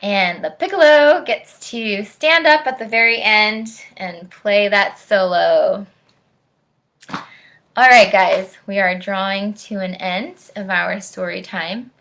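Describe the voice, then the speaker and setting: A woman's voice: medium pitch, takes it slowly, a bit bright. American woman hosting a children's storytime. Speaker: orchestral musician, guest storytime reader. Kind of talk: children's storytime, reading aloud and chatting to young viewers.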